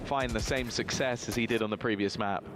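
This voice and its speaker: A man's voice: fairly low pitch, steady tone, brisk. Male esports analyst over noisy broadcast. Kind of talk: analytical game commentary.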